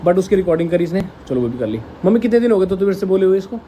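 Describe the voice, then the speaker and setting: Mellow-voiced man, slightly high. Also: sing-song, rapid-fire, slight background noise. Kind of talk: casual personal explanation to camera.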